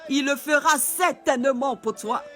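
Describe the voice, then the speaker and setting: Fervent male prayer leader, very high bright voice, slow deliberate delivery, soft music under. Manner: fervent, repetitive, often shouted. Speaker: pastor. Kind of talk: prayer and worship exhortation.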